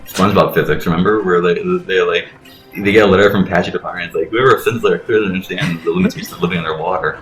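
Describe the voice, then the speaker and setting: A man's voice: low-pitched, steady tone, very fast, fairly bright tone. A man giving casual game commentary, explaining things in a rambling way with frequent swearing. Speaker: let's play host explaining the game. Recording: roomy echo, faint game music behind.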